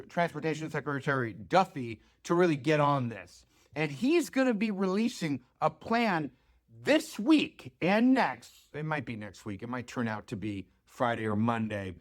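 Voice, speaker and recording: Male American financial host, slightly higher register, conversational and emphatic investment pitch. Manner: conversational, emphatic, rhetorical questions and check-ins. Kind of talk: informal investment pitch to camera.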